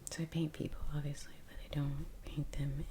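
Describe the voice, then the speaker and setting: A woman speaking softly in a breathy half-whisper, chatting unscripted in an ASMR style while she works, with pauses between phrases. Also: sits low, little pitch movement. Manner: hushed, slow, with long pauses. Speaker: ASMR painter and presenter. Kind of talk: soft-spoken ASMR, unscripted chat while painting. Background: faint ambient noise, brush sounds.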